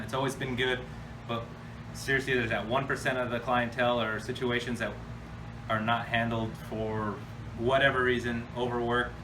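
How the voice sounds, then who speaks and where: A man from the United States hosting a casual, off-the-cuff question-and-answer chat in a blunt, slangy, informal way. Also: mid-range voice. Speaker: host answering viewer questions. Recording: distant mic with clear background noise.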